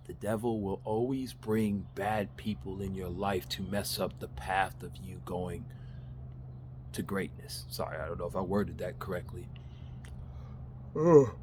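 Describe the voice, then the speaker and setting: Man in his thirties, deep low voice, candid rambling motivational talk, faint background hiss. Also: a little mellow. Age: 30s. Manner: rambling, candid, laughs at himself, swears casually. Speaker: vlogger. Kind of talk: casual motivational monologue. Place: backyard.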